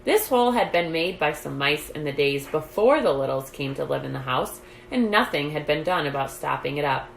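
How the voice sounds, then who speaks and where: Low-voiced, creaky woman reading a storybook. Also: animated, moderate pace. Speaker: storytime reader, host. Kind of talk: reading a children's book aloud. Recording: roomy echo, light background noise.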